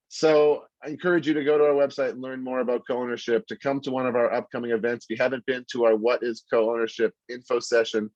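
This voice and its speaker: A man's voice: medium pitch, darkish tone, dry recording. Man, host, video call, earnest, muffled sound, dry. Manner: earnest, with frequent fillers and restarts. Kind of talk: online lecture introduction.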